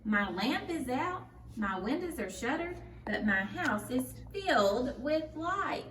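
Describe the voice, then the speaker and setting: A woman's voice: high-pitched, sing-song, takes it slowly, fairly bright tone, pitched above the performer's own voice, exaggerated voice. A woman voicing a crotchety old peasant woman from a children's storybook, grumbling and muttering dismissively. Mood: puzzled, grumbling. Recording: roomy, distant mic, faint noise.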